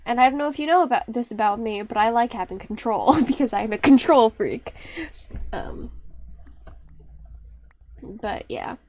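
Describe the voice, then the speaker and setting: A woman's voice: expressive, moderate pace, long pauses, a little mellow, a little hiss or hum. A young woman streamer chatting casually on a live stream, rambling and restarting her sentences, often breaking into laughter. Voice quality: high-pitched.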